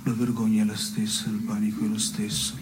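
Man acting wry philosophical male, low pitch, deliberate mock-solemn stage delivery, noisy recording. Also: completely flat delivery, natural voice. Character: wry man defending petty theft, self-satisfied and philosophical. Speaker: stage actor. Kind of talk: scripted absurdist theatre dialogue.

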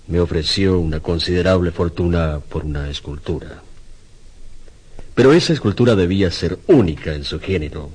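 A tormented, brooding artist and husband in an old-style radio suspense play. His very low voice is husky, and he speaks gravely, with theatrical, hesitant pauses. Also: darkish tone. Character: troubled Spanish sculptor, brooding husband tormented by a commission.

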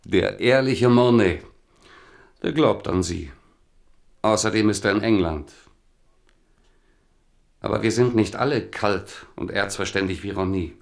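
A melancholy courtier-poet in a radio drama. His low voice is strongly creaky, and he speaks to his king with solemn, reproachful earnestness. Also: a little slow, pauses often. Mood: bitter reproach. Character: king's old Huguenot companion, male, melancholy, reproachful poet. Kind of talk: German radio drama acting.

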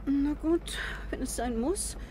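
An actress in a 1960s German radio play voicing a small, eccentric old spinster, plaintive, wistful and rambling. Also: female voice, high-pitched, slow, warm tone, slight background noise. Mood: rambling, hesitant.

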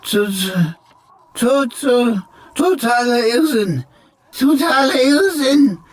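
A woman's voice: on the high side, expressive, slow. A woman playing a cheeky, melodramatic pocket computer that is failing, stammering and repeating words in a German radio play. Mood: confused, failing.

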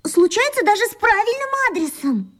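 Very high-voiced earnest little schoolgirl. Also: big pitch swings, a little fast, a bit bright, full character voice. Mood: earnest, doubtful. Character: careful, doubtful little schoolgirl, earnest and curious. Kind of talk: radio play acting.

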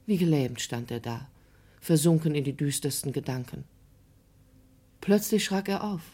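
A woman's voice: deep voice, lively intonation, moderate pace, warm tone, husky. A woman giving dramatic literary narration in a radio drama.

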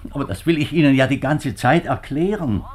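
An actor playing an anxious middle-aged government minister, husky and halting, in a 1950s German radio drama. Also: male voice, mid-range voice, lively intonation, darkish tone, natural voice. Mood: agitated, pleading. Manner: halting, agitated, formal.

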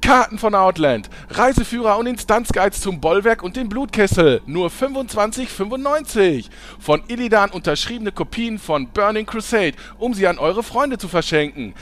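Pushy souvenir hawker voiced by a man, high lively voice, huckster patter, comedy audio drama. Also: moderate pace. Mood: hawking. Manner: loud huckster sales patter. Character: pushy male guild merchant hawking souvenirs. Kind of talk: amateur radio comedy acting.

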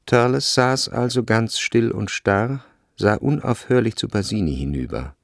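Low, gravelly male radio drama narrator. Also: lively intonation, measured pace, no room sound, clean studio sound. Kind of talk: scripted literary narration.

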